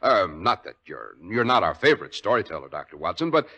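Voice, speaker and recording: Bright, animated American 1940s radio announcer, male. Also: deep voice, fairly quick, dull audio. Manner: polished, salesmanlike, deferential.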